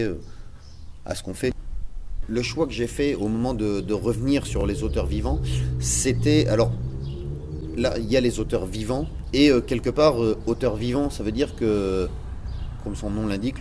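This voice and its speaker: Low mellow guy, theatre director, stops and restarts a lot, bit of hiss behind. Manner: hesitant, repeats words while searching. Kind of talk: reflective interview talk.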